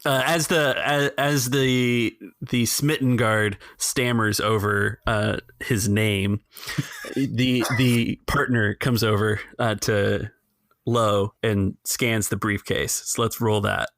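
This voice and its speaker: A man's voice: on the low side, steady tone, slow pace, fairly bright tone, no echo. A man hosting a tabletop role-playing podcast and narrating as game master. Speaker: game master and show host.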